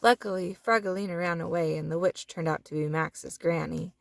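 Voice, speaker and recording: A woman reading a children's storybook aloud in an expressive manner, drawing out words and sound effects. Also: on the low side, fairly quick, vocal fry, no echo, studio quality. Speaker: children's book reader. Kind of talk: animated storybook read-aloud.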